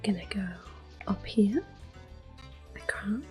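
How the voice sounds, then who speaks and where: A woman giving soft-spoken ASMR gameplay commentary in a breathy half-whisper, gently narrating each move. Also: mid-range voice, takes it slowly, soft background music. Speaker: game streamer, host.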